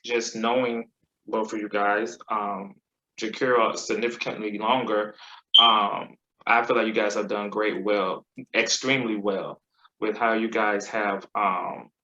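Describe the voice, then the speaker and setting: Male host, bright voice, affirming and encouraging, recorded in an echoey, dull-sounding room. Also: fairly low pitch, little pitch movement, a little slow. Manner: affirming, encouraging, asks questions warmly. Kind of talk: casual conversation.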